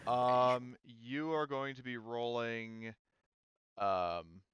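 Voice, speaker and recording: A man running a tabletop roleplaying game as game master, narrating casually off the cuff in a halting, rambling way. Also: mid-range voice, fairly even intonation, fairly bright tone, a little hiss or hum. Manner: halting, rambling delivery heavy with hedges.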